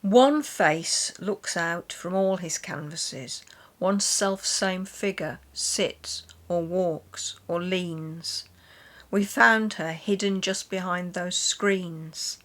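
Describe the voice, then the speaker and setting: A woman's voice: on the low side, extremely slow, a bit bright. A woman reading poetry and chatting casually about books. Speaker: guest reader on a literature chat channel.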